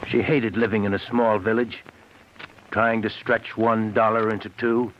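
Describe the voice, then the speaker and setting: Muffled, hissy radio play: male actor as worn-out bitter ex-con, low voice, brooding and insistent. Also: flat delivery, unhurried, natural voice. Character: embittered, prematurely aged ex-convict, big and broken. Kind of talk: 1940s radio drama acting. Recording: muffled noisy old radio recording.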